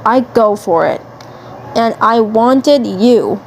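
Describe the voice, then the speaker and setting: Girl doing obsessed alien commander guy, gloating n menacing, kinda high voice, real slow, bit of noise. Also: lively intonation. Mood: possessive, determined. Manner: gloating, repeats phrases.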